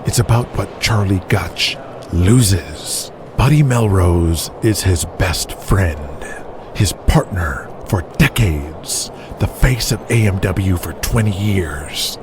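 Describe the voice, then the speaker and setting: A man playing a vengeful, grandiose wrestling promoter in an audio drama, speaking in a deliberate, menacing manner. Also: sits low, animated, background noise. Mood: gloating.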